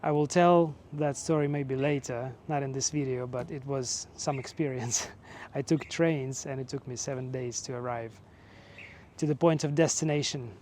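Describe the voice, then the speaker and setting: Mellow man telling his story. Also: mid-range voice, slight background noise. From Russia. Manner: relaxed, measured, with fillers and short laughs. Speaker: vlogger and teacher. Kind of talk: casual personal storytelling.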